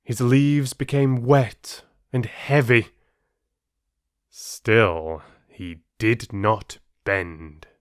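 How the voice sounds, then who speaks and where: A man working as an audiobook narrator, reading stories and addressing listeners with measured, deliberate phrasing. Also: mid-range voice, fairly even intonation, smooth, no room sound, studio quality. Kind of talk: expressive storybook reading and listener chat.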